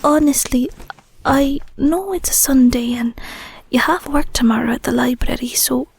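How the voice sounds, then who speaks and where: A woman voicing an affectionate, bashful young Scottish werewolf girlfriend in an intimate audio roleplay, soft, whispery and a little hesitant, close on the mic. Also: sits high, moderate pace, gravelly, slight background noise. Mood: grateful, tender. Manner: hesitant, stammering, murmuring endearments. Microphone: close microphone.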